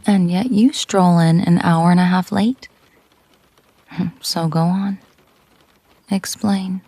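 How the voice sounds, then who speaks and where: Slow, husky woman acting a dominant mafia boss girlfriend. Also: lowish voice, dark tone, no echo. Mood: cool, menacing. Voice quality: breathy, husky. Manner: slow, teasing, commanding, drifting into breathy murmurs. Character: possessive, dominant female mafia boss girlfriend, coolly menacing. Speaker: audio roleplay performer. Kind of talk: intimate ASMR-style audio roleplay.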